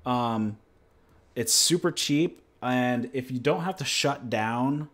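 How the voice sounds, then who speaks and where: A man's voice: medium pitch, takes it slowly, a bit bright. A man from New Hampshire, hosting his channel, chatting casually in a rambling, laid-back way. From New Hampshire, USA. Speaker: channel host. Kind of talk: casual livestream chat and Q&A.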